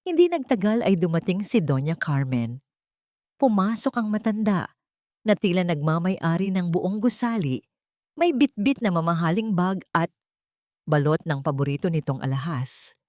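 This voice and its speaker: A woman narrates a dramatic story with measured, literary delivery. Her voice sits a little low, and the sound is close and dry, like a studio voice-over. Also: sing-song, darkish tone, no echo.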